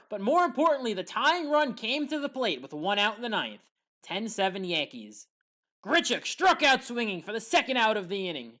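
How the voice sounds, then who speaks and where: Lively, high-pitched female baseball commentator. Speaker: sports highlights commentator. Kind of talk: scripted baseball highlights commentary.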